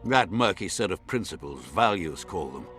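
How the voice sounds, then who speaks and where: A man's voice: low-pitched, lively intonation, moderate pace, a bit bright, faint music behind. A man playing a haughty, scornful nobleman in a fantasy video game, with imperious, formal diction. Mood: cold, contemptuous. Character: haughty scornful nobleman, baron vying for a throne. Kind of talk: dramatic fantasy game acting.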